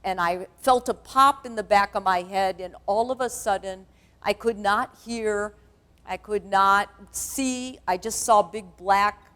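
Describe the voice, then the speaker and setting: A middle-aged woman with a booming voice, a therapist giving a chatty, candid talk to a church conference. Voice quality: loud, booming voice. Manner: chatty, candid, self-deprecating. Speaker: guest speaker, sex therapist. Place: church stage.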